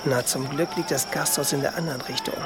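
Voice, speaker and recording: An actor in a German radio drama playing a stealthy, grumbling medieval assassin, hushed and urgent, often whispering. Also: male voice, medium pitch, steady tone, fast talker, close to an everyday voice. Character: stealthy, grumbling medieval assassin, twin brother to his accomplice.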